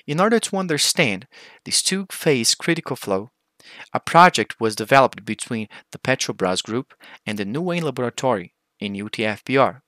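A man's voice: mid-range voice, pushed and bright, dry recording. A man with a Brazilian accent, a native Portuguese speaker, giving a formal, methodical scripted technical presentation as a researcher.

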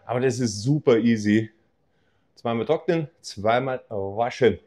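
A middle-aged man leads a casual, upbeat walk-through of a campsite, cracking small jokes as he goes. His voice has a mellow, warm tone. He talks into a camera he holds at arm's length. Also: mid-range voice, measured pace, no room sound, clean studio sound. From Bavaria, Germany. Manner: chatty, upbeat, jokey. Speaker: camping vlogger. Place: campsite outdoors and indoor reception office.

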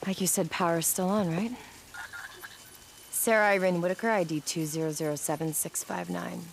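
A woman's voice: fairly low pitch, steady tone, normal speed, creaky. A determined American woman scientist in a post-apocalyptic video game. She explains things earnestly, with urgency and a guarded edge. Faint ambient noise sits behind her voice. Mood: matter-of-fact. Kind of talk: naturalistic dramatic game cutscene acting.

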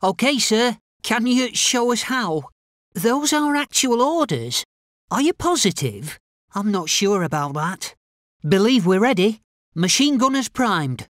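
A timid infantryman in a war game, with a notably high voice that swings up and down. He sounds unsure of himself and hesitates politely, taking his words slowly. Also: sing-song, a bit bright, no room sound, clean studio sound, heavily acted. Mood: hesitant, obedient. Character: timid, unsure British infantry soldier, eager to obey. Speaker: infantry soldier unit voice. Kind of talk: video game unit barks.

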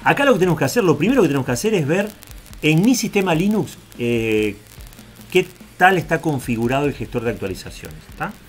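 A man's voice: on the high side, big pitch swings, a little fast. A man from Argentina, raised in Uruguay, with a Rioplatense accent, casually talking through a screen-share tutorial with frequent asides and tag questions. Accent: Rioplatense Spanish. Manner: chatty, digressive, checks in with "¿tá?". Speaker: tech video host.

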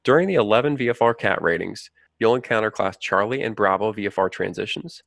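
A man's voice: lowish voice, a little fast, vocal fry, a bit bright, no room sound, clean studio sound. A man giving scripted, explanatory narration for a training video. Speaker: narrator of a flight training promo.

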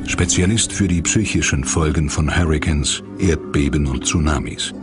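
Deep voiced guy narrating a documentary, music playing behind him. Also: moderate pace. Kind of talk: scripted narration.

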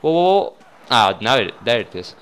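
Animated young Brazilian guy. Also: mid-range voice, normal speed, slight background noise. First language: Portuguese. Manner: excitable, sweary. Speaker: gaming video host. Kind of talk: casual gameplay commentary.

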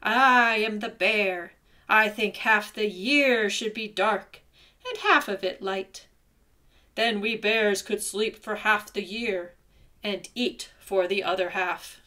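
A woman voicing a big, fat, lazy male bear in a spoken folktale, with a deep gruff growl, loud and slow.

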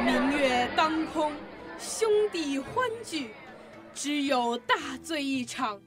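A teenage girl playing a hearty, fearless, wine-loving general in a student radio drama, in a declamatory manner. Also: sits high. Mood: jovial, hearty. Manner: declamatory, slow, reciting.

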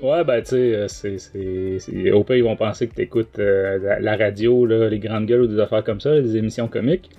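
A man's voice: lowish voice, steady tone, normal speed, hardly any pauses, warm tone, highs cut off, faint music behind. A man with a Quebec French accent, a podcast host giving a casual review in a hesitant, rambling way. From Quebec, Canada.

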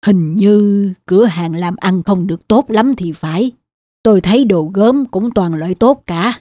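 A woman voicing a wise, kindly old man in a cartoon, gentle and advising. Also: mid-range voice, a little slow, dry recording, studio quality, lightly acted. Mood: weary, polite. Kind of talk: cartoon dubbing.

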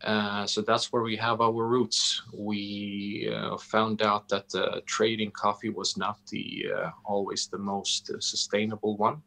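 A man in his forties with a Swedish accent, speaking haltingly and deliberately as he answers interview questions, often searching for words. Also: fairly low pitch, level tone, gravelly, bright, forceful tone. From Sweden. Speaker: interview guest, coffee roastery founder. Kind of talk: interview answers on a video call. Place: indoor room, on a video call. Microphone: video call microphone.

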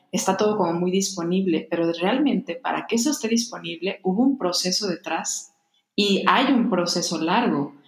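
A woman podcast host speaks in a slightly low voice, reflective and conversational, unfolding her thoughts in long flowing explanations. The sound is distinctly roomy, recorded indoors at home. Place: small home room, indoors. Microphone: large desktop microphone up close, headphones.

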